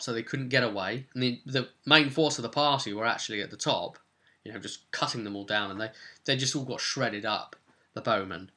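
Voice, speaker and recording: A man's voice: medium pitch, sharp tone. A man giving a casual, rambling, chatty recap of a tabletop role-playing session as its game master.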